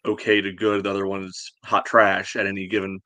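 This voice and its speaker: Male sports podcast co-host, low-voiced and monotone, halting casual talk with lots of filler. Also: no echo. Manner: halting, peppered with "you know".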